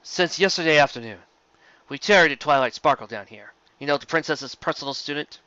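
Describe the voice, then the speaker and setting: Man as a commanding, deadpan pony guard officer. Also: on the high side, brisk, dry recording, muffled recording. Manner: deadpan, authoritative.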